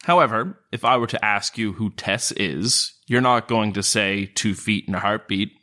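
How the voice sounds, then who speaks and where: Low male voice, man playing a serpent-folk outcast, formal, philosophical, emotionally reserved, tabletop fantasy game. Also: sharp tone. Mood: reflective, reserved. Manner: formal, philosophical, measured wording.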